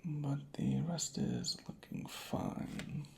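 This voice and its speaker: A soft-spoken ASMR creator murmuring methodically in a breathy, whispery voice, unhurried and close to the microphone. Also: mid-range voice, wildly swooping pitch. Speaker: ASMR creator playing a record inspector.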